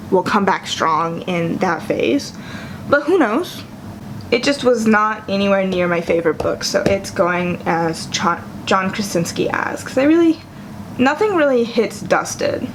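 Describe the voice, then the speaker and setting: A woman's voice: medium pitch, noisy background. A young woman talking casually in a vlog, chatty and enthusiastic, with frequent restarts and fillers. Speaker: book vlogger.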